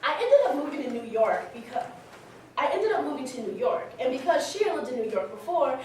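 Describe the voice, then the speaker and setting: A candid, smitten young woman, played by an actress shares her story in a chatty staged monologue. Her voice is high. It comes from some distance in a heavily echoing hall, with slight background noise. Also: sing-song, a little fast, a little mellow. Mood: candid, excited. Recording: very echoey hall, distant mic, faint noise.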